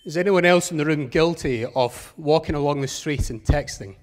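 A Scottish man in middle age presents a keynote from a large auditorium stage. His voice sits a little high, and he talks in a measured, earnest way, wearing a headset mic. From Scotland. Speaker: conference speaker.

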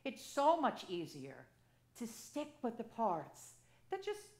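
A woman in her sixties, an assisting priest, preaching a sermon from the pulpit in a conversational, storytelling way with lively gestures, speaking into a pulpit microphone. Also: on the high side, takes it slowly. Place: church sanctuary, at a pulpit. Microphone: gooseneck pulpit mic. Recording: echoey church sanctuary.

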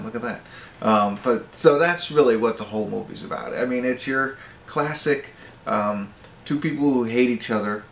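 A middle-aged American man giving a casual, unscripted movie review in a halting, rambling way, often correcting himself. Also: mid-range voice, vocal fry, a bit bright. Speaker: amateur movie reviewer and host. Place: small indoor wood-panelled room at home. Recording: roomy echo with faint background noise.

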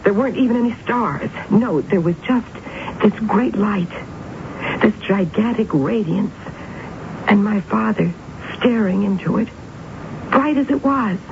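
An actress playing an intense, eerily certain young woman with visions, in a solemn, deliberate 1970s radio drama style. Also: mid-range voice, natural voice. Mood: entranced. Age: 20s. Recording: muffled, very noisy old radio broadcast.

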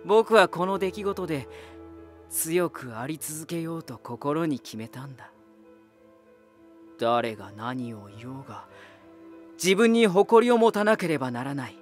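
An actor playing a proud, ominous lighthouse spirit hardened by war, deliberate, cryptic and condescending, in a dramatic voice drama. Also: male voice, fairly high pitch, expressive, faint music behind. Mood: somber, resolute. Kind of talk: dramatic Japanese voice drama acting.

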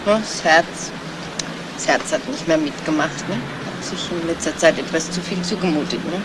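A woman's voice: deep voice, normal speed, natural voice. A woman playing a nosy, gossipy neighbour in a 1970s radio crime drama, chatty and insinuating, feigning discretion and tagging her remarks with little questions. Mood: guarded, curious. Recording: noisy old radio play, vehicle ambience.

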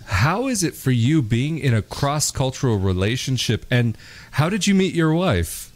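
A man's voice: medium pitch, very animated, moderate pace, slight background noise. A young man with a Portuguese accent hosting an interview podcast, talking at length with fillers into a studio microphone. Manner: chatty, explains at length with fillers. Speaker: podcast host. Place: home studio, in front of a picture backdrop. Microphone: studio mic on a boom, with headphones.

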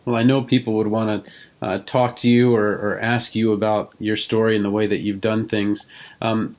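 A man with a fairly low voice speaks as an interviewer on a podcast. He talks casually and conversationally, as a middle-aged host would. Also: a little mellow.

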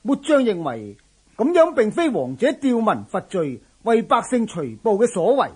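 A man's voice: high voice, big pitch swings, normal speed, darkish tone, husky, exaggerated voice. A man with a Hong Kong Cantonese accent playing an earnest, dutiful general who speaks formally and deferentially in a radio drama. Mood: earnest, urging. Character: earnest, dutiful general reporting to his lord.

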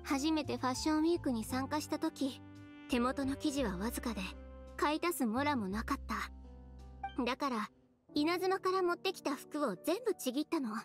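A woman playing a confident, blunt and determined young fashion designer in an anime video game, speaking in a brisk, decisive manner. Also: high-pitched, lively intonation. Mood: matter-of-fact. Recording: game music behind the voice.

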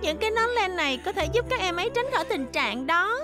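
A woman's voice: extremely high pitch, very animated, quick pace, over music. A woman voicing a sweet, earnest little cartoon schoolgirl. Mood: earnest, helpful. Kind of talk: cartoon dubbing.

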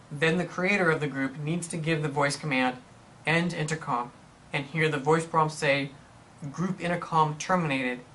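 Bright-voiced man narrating a tutorial. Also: fairly high pitch, a little hiss or hum, poor audio. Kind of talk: scripted instructional narration.

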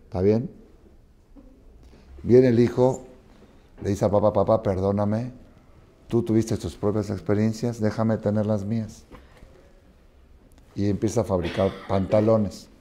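Older man, rabbi teaching a class, low pitch, mellow tone, expressive, quizzing listeners, study hall. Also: measured pace, long pauses, slight background noise. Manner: expressive, questioning listeners, acting out dialogue. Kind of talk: animated Torah lesson with parables. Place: study hall with wooden chairs, indoors.

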